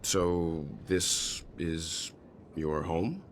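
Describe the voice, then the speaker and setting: Casting: mature game investigator, deep register, deliberate and courteous delivery, composed temper. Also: male voice, slight background noise. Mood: grave, measured. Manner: measured, deliberate, formal phrasing. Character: composed, thoughtful mature man, an investigator-traveller. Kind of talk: video game voice acting.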